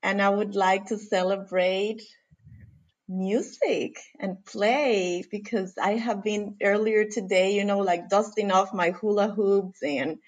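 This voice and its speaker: A woman's voice: medium pitch, fairly even intonation, takes it slowly. Middle-aged woman from Costa Rica, podcast guest; eager, rambling, full of fillers. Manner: warm, enthusiastic, many fillers. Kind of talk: casual podcast interview.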